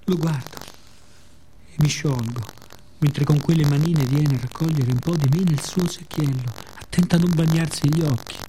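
A man playing the sea as a weary, bitter yet tender narrator in a theatrical radio monologue with deliberate repetitions. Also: on the high side, mellow, lightly acted, poor audio. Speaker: actor performing own monologue. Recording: clear background noise and ambience.

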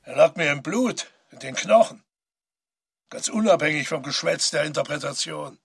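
A male actor voicing the proud, insistent inner voice of a former actor in a radio drama, speaking in a deliberate, declamatory way. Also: fairly high pitch, expressive, creaky, breathy edge, heavily acted. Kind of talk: theatrical radio drama monologue.

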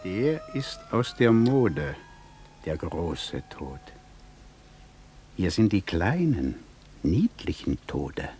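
An actor voicing a sly, gloating finger of death, insinuating and savoring, in a poetic radio drama. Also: male voice, deep voice, very animated, very slow, long pauses, a little mellow, faint music behind, full character voice.

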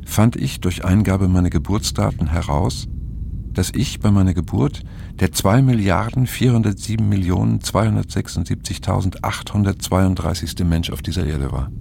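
An aging diarist in a radio play. His voice is very low and creaky, with grave, pensive, measured phrasing. Faint noise sits behind him. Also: darkish tone. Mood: reflective. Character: aging, pensive male narrator reading his diary. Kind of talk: radio play narration, reflective.

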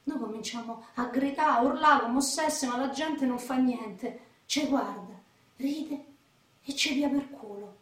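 A defiant young Roman woman, played by an actress, with a high voice and a brash, combative way of talking. She speaks broad street slang in a radio play. The sound is echoey and a little distant from the microphone. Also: unhurried, fairly bright tone. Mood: dreamy, aggrieved. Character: defiant, foul-mouthed young woman from Rome's outskirts. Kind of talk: radio drama acting. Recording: roomy echo, voice off the mic.

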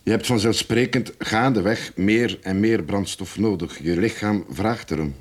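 An actor playing a rueful middle-aged recovering alcoholic confessing in a radio drama, husky, halting and frank. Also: male voice, lowish voice, soft background music, close to an everyday voice.

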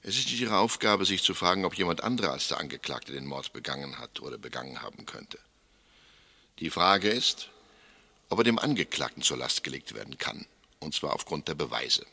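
A man's voice: a bass voice, big pitch swings, vocal fry, bright, forceful tone, slightly rough, full character voice. A male actor playing a grave English judge who instructs a jury solemnly and emphatically in a German radio play. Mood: solemn, insistent. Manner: solemn, emphatic, deliberate rhetorical phrasing. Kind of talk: 1960s German radio play courtroom speech. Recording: reverberant hall.

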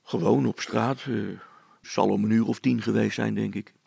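Man as a placid, gravelly village fellow. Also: fairly low pitch, steady tone, dark tone, no room sound, full character voice. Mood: evasive. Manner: deliberate, laconic, good-natured. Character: placid, unhurried, wry village father, male. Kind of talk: dramatized storybook reading.

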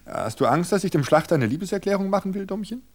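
A man voicing a frightened young woman who is forcing herself to sound calm. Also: high voice, fast, pitched above the performer's own voice. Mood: forced casual. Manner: feigning calm, tense and pleading. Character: frightened young wife hiding her fear. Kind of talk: audiobook reading of a thriller.

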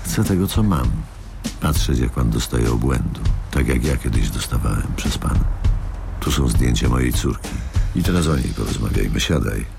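An actor playing a bitter, menacing middle-aged businessman in a radio drama, with slow, controlled delivery. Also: animated, over music, heavily acted. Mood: cold menace. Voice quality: very deep, gravelly. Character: bitter, menacing self-made businessman, a grieving father seeking revenge.

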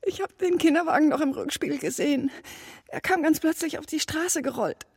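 An actress playing an exhausted, frightened, guilt-stricken wife in a radio drama, sounding distraught. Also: female voice, sits high, lively intonation, a little fast, no room sound.

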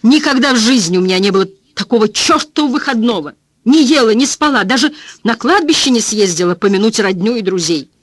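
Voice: mid-range voice, animated, fairly quick, no echo, studio quality, pitched above the performer's own voice, lightly acted. A reader voicing a blunt, foul-mouthed woman cleaner who exclaims and rants in plain folksy speech. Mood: indignant.

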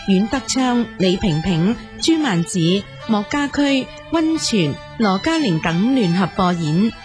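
A woman announces radio drama credits in a Hong Kong Cantonese accent. Her medium-pitched, scripted delivery sits over prominent music.

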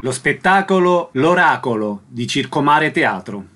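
A man's voice: mid-range voice, lively intonation, measured pace, fairly bright tone, soft background music. A man presenting a radio programme as host, giving announcements and thanks to a guest.

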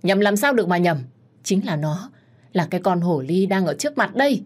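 A woman voicing a furious, scornful middle-aged wife and mother, shouting accusations in a dramatic story reading. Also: lowish voice, animated, fairly quick. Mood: scornful rage.

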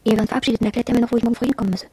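A woman's voice: on the high side, fairly even intonation, very fast, mellow, slightly rough, no echo. An actress playing an impressionable, wondering young shop girl who confides her experiences in a reflective radio drama monologue.

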